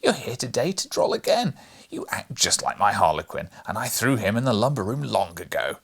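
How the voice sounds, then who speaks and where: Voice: medium pitch, very animated, fairly quick, vocal fry, slightly rough, heavily acted. A narrator voicing a boisterous, cheeky young boy from a storybook, emphatic and outspoken. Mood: mocking.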